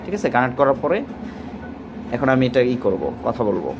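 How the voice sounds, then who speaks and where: A man with a Bangladeshi Bengali accent, giving a product demonstration as a shop salesman, with rapid, repetitive sales talk. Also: medium pitch, expressive, loud music behind the voice.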